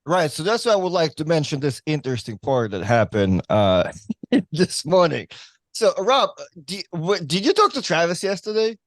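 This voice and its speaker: High-voiced non-native English man. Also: sing-song, normal speed, dry recording. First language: not English. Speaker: podcast co-host and producer. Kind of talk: casual podcast banter.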